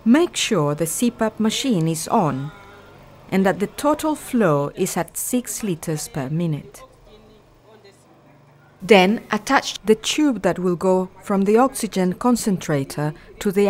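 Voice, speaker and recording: A woman's voice: medium pitch, expressive, unhurried, darkish tone. Woman narrating step-by-step instructions. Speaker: training film narrator.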